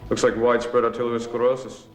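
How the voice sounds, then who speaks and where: An actor playing a blunt, grave autopsy pathologist in dramatized dialogue. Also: male voice, medium pitch, level tone, fast talker, close to an everyday voice. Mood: grim. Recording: echoey room, faint music behind.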